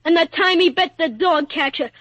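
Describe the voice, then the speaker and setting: Very high-voiced earnest little boy played by a woman. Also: normal speed, fairly bright tone, no room sound. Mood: earnest, defensive. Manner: earnest, childlike, slangy, polite. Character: earnest, plucky little boy pleading for his dog. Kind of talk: 1940s radio acting. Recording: dull, dry old radio recording.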